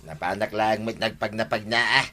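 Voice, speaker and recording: An actor playing a mild but chiding husband in a village radio comedy drama, speaking with an Ilocano accent. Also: male voice, on the low side, steady tone, moderate pace, bright, forceful tone, soft background music. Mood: placating.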